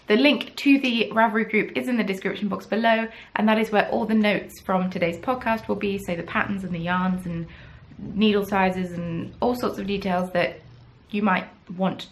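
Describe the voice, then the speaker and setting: A woman's voice: medium pitch, a bit bright. A young woman from the south of England with a Southern English accent, hosting a casual crafting podcast and chatting in a rambling, self-deprecating way. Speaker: podcast host. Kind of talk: casual chat.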